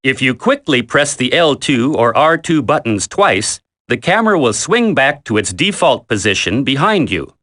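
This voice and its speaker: An actor playing a military instructor in a video game tutorial, coaching a recruit in a firm, deliberate, commanding way. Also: male voice, medium pitch, very animated, a bit bright. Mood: instructive, firm.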